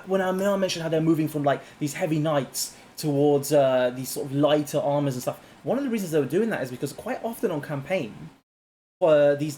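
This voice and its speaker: A man's voice: a little high, a little hiss or hum. A man co-hosting a history podcast, chatting casually and laughing easily. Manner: laughs easily, animated asides. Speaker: podcast co-host.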